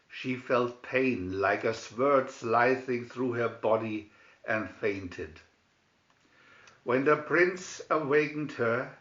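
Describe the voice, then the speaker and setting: A man narrates a children's fairy tale at a slow, measured pace. His voice sits at a middle pitch, and the recording is roomy and somewhat dull. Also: fairly bright tone. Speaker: storybook reader.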